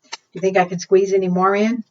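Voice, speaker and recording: A woman doing an expressive storytime read-aloud for preschoolers, often putting questions to her young listeners. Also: medium pitch, quick pace. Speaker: children's storytime librarian. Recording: distant, dull lo-fi microphone sound.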